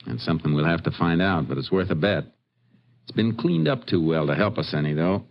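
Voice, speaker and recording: Texas Ranger, deep voice, methodical, unhurried temper, muffled vintage radio drama. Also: male voice, expressive. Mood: shrewd, calm. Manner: laconic, methodical. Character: calm, observant Texas Ranger lawman. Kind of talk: vintage radio detective western acting.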